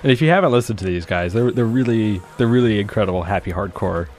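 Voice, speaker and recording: A man co-hosting a radio music show, bantering and teasing his co-host, sometimes stammering when he gets excited. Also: on the low side, quick pace.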